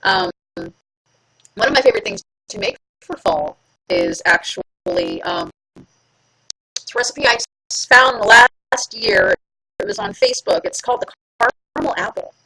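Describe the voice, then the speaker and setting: A woman's voice: fairly high pitch, huge pitch swings, a little slow, gravelly, pauses often, sharp tone, breathy edge, low-quality recording. A woman hosting a live stream from home, chatting casually and playfully with her viewers and teasing herself. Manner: chatty, playful, self-mocking. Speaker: livestream host.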